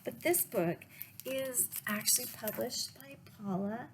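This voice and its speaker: A woman reading picture books aloud to children as a librarian, in a deliberate way with pauses and little asides to the listeners. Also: a little high, noisy background. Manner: slow, deliberate phrasing with pauses. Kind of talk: read-aloud storytime with asides to young listeners.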